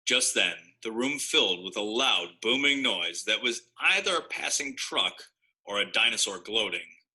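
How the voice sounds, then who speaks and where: A man's voice: on the low side, animated, a little slow, sharp tone, low-quality recording. A man narrating a children's story expressively, with wry, mock-innocent asides.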